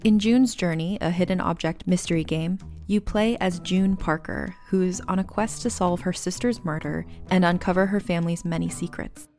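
A woman's voice: lowish voice, fairly even intonation, normal speed, hardly any pauses, a little mellow, faint music behind. A woman reading a game advertisement in an upbeat, conversational way. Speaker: ad narrator. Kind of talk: scripted ad read.

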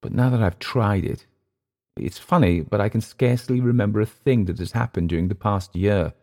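A bitter Englishman gone to seed , acted out by a male audiobook reader. His voice is lifted well above a low narrating register, with a volatile, mocking edge. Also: moderate pace, a little mellow, natural voice. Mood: earnest, defiant.

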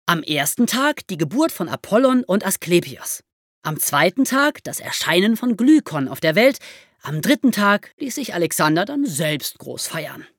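Female host, low-pitched and animated, explains history conversationally, close dry studio sound. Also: normal speed, no room sound. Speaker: podcast host telling history stories. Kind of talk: lively explanatory storytelling in conversation.

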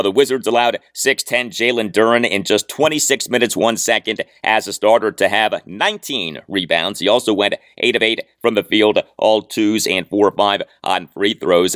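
A man's voice: fairly low pitch, normal speed, hardly any pauses, fairly bright tone, dry recording, studio quality. An American man hosting a sports podcast, delivering scripted commentary in an emphatic, detail-heavy way.

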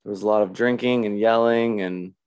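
A man hosting a casual sports chat, his voice raspy and hoarse, rambling and restarting as he talks. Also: lowish voice, fairly even intonation, brisk, darkish tone, lo-fi sound. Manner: rambling, self-interrupting, laughs often. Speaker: podcast host.

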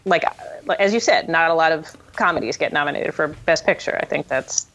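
A woman's voice: deep voice, brisk, a bit bright, slight background noise. A woman speaking as a guest screenwriter in a casual interview, thinking aloud with frequent restarts. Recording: dull, lo-fi audio.

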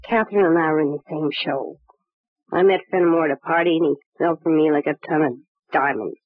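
A woman's voice: low-pitched, brisk, no room sound. An actress playing a brassy, sardonic jilted showgirl, slangy and defiant, in an old-time radio crime drama. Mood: bitter, guarded. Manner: wisecracking, slangy, cynical. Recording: muffled, phone-like vintage radio recording.

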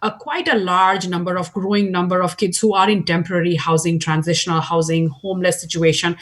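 Husky-voiced Indian-accented woman. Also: fairly low pitch, fairly even intonation, brisk, a bit bright. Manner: earnest, heartfelt, with restarts. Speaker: board chair of a nonprofit. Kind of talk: interview guest.

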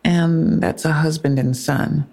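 A woman playing a composed police officer in an audio drama, measured and polite but unyielding. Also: deep voice, fairly even intonation, gravelly, warm tone, full character voice. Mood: probing. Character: firm, composed female police officer questioning a witness. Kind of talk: naturalistic audio drama dialogue.

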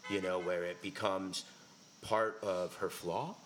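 A man's voice: low-pitched, steady tone, slow pace, a little hiss or hum. A man speaking as a guest at a live podcast interview, effusive and full of stumbling restarts. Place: live event venue with audience.

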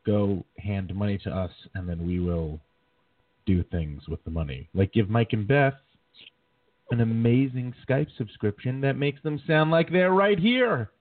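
A man's voice: fairly low pitch, lively intonation, measured pace, no room sound. A man hosting a podcast, joking and self-mocking, his throat slightly sore. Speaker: podcast host. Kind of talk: casual podcast chat. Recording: dry, tinny phone-like sound, a bit distant.

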